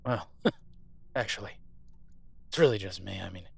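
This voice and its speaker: Man acting a husky, defiant captive werewolf alpha. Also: low-pitched, lively intonation, moderate pace, full character voice. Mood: firm persuasion. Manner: profane, sardonic, urgent persuading. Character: captive male werewolf alpha soldier, defiant, pragmatic, protective. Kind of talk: audio roleplay acting.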